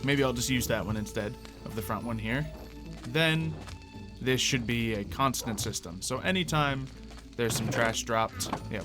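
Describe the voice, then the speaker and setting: A man doing gameplay commentary, thinking aloud and explaining each step with playful, exaggerated exclamations. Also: medium pitch. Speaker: gaming video host. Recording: music playing under the voice. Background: background music.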